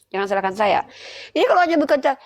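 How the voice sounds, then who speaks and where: A woman's voice: mid-range voice, sing-song, very fast, a little hiss or hum. Blunt woman debate host with a cold. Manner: blunt, challenging, sure of herself. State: slight head cold. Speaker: host of a live religious debate stream. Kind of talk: argumentative live-stream debate.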